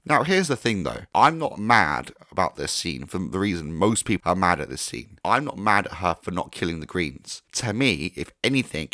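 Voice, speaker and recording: Hoarse, deep-voiced man. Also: a bit bright, no room sound, clean studio sound. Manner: frank and critical, mild swearing. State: hoarse, recovering from a sore throat. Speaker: TV reviewer. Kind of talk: opinionated casual review.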